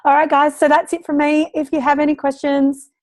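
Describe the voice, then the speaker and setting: A woman's voice: sits high, flat delivery, fairly quick, a little mellow, no echo. A woman who is losing her voice, talking in a chatty, encouraging way to parents about teaching children. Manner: chatty, encouraging, lots of fillers. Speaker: parenting educator. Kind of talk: casual talk to parents.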